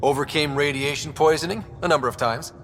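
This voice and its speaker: An actor playing a young, earnest and easygoing space explorer leader in a video game. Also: male voice, on the high side, a little fast, a bit bright, a little hiss or hum. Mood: confident, upbeat. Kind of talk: video game dramatic dialogue.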